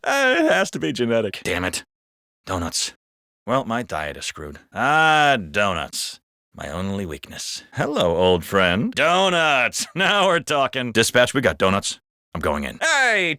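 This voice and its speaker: A man with an American accent playing a wisecracking, foul-mouthed city cop in video game banter, colloquial and expressive. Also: mid-range voice, measured pace, dry recording, studio quality, full character voice. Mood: gleeful, then resigned. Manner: expressive, colloquial, comically exaggerated.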